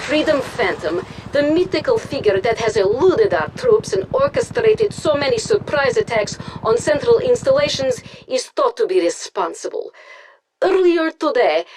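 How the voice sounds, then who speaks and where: An actress playing a poised Soviet propaganda news anchor in a video game, formal and polished in an official broadcast style. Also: female voice, a little high, big pitch swings, normal speed, low-quality recording. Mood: composed, official. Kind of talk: scripted TV news broadcast acting.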